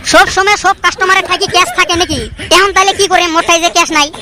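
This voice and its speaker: Very high, bright, fast-talking woman. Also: steady tone, background noise. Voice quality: cheeky, bantering and fast. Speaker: cartoon channel creator and voice of several characters. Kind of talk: cartoon comedy voice acting.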